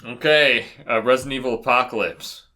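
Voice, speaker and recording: A man's voice: medium pitch, brisk, bright, forceful tone. A young man co-hosting a casual film chat with a dry, joking manner. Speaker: podcast co-host.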